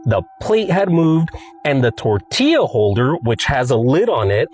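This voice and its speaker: Nasal, congested male storyteller, mid-pitched with a very lively intonation, casual. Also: measured pace.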